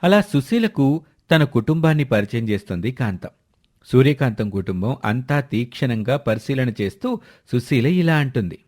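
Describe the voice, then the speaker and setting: A man's voice: medium pitch, animated, moderate pace, a little mellow. A man reading scripted narration for a moral family story.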